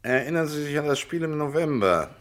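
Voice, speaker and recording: A man's voice: on the high side, expressive, a little fast, a bit bright. A man playing a cool, persistent private detective in a German radio crime drama, putting terse, controlled questions with dry scepticism. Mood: probing, dry.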